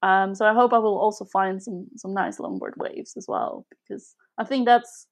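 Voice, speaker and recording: A woman's voice: mid-range voice, big pitch swings, normal speed. Young woman from Switzerland, chatting casually. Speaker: podcast guest. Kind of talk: casual conversational interview.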